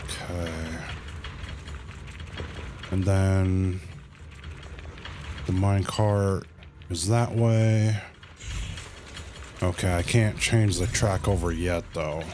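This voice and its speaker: A man's voice: low-pitched, steady tone, extremely slow, pauses often, noisy background. A man giving casual livestream commentary on a game, thinking aloud in informal, slangy asides.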